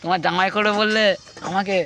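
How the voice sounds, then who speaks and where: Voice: mid-range voice, fairly even intonation, fast talker, gravelly, crowd noise. A person making a casual prank phone call, insistently repeating questions. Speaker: prank caller on a comedy video channel. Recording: noisy handheld phone video with clicks.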